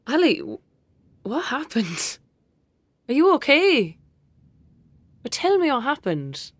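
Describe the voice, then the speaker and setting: A woman's voice: sits high, huge pitch swings, takes it slowly, dry recording, heavily acted. An actress playing a protective young girl, a caring best friend, in an audio drama, with an Irish accent and a coaxing, soothing manner. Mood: concerned, pleading.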